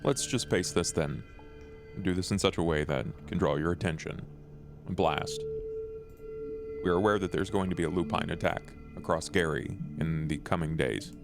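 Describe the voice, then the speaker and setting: A man playing a courteous, calculating vampire envoy in a tabletop game, with a gravelly voice and measured, formal delivery. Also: low-pitched, fairly even intonation, over music.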